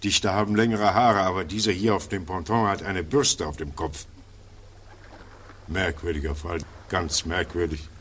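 Sardonic, detached male observer, low and unhurried, dry musing, faintly noisy vintage radio play. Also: fairly even intonation, a bit bright. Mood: curious, musing. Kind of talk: 1940s radio drama monologue.

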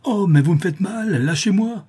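Casting: male reader as coarse, menacing bailiff's officer for a storybook, husky voice, insolent bully who whines when overpowered. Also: mid-range voice, moderate pace, mellow, close to an everyday voice. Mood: whining, cowed.

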